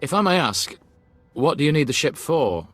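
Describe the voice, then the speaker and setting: An actor playing a cautious, deferential imperial officer in a video game, formal and obsequious. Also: male voice, a little high, very animated, moderate pace, fairly bright tone, soft background music. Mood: deferential, wary. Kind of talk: game voice acting.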